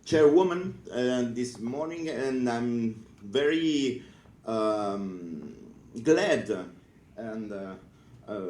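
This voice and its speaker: Slow, halting Italian-accented man. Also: medium pitch, warm tone, reverberant. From northern Italy. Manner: hesitant, halting, many filler sounds. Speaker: librarian giving a conference talk. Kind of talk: conference speech. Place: conference room.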